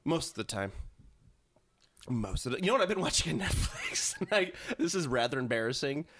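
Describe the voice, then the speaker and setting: A man's voice: mid-range voice, big pitch swings, brisk, fairly bright tone. An American man in his thirties chatting casually and jokingly as a co-host, opinionated and repeating words as he gets going. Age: 30s.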